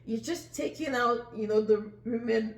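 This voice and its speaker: A man's voice: very high-pitched, moderate pace, creaky. A man co-hosting a casual podcast conversation, reflective and agreeable, with many fillers. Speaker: podcast co-host. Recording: echoey room, faint background noise.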